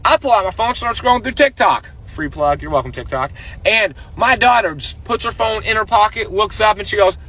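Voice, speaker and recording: High-pitched, husky man telling comic stories. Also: fairly quick. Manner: profane, slangy, animated exasperation. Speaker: storyteller. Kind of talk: comedic storytime monologue.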